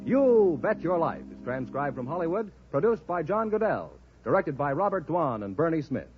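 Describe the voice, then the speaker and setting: Husky, lively American male radio announcer. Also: fairly high pitch, normal speed, faint music behind. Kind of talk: 1950s radio advertising announcing. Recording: dull vintage radio recording.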